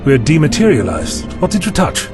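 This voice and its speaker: An actor playing a polite, inquisitive time-travelling doctor hero in a sci-fi audio drama. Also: male voice, on the high side, big pitch swings, moderate pace, over music. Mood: puzzled, alert.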